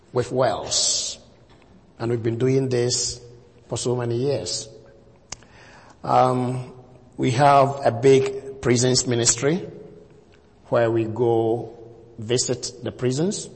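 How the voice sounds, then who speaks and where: A Ghanaian man in his fifties, a visiting preacher speaking to a church congregation, slow and deliberate, leaning on key words. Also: mid-range voice. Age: 50s. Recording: long reverb of a hall.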